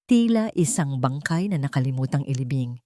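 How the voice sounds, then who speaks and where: A woman's voice: rumbling low, animated, moderate pace, dark tone, dry recording, studio quality. A woman narrating a dramatic scripted love story in a solemn, melodramatic manner.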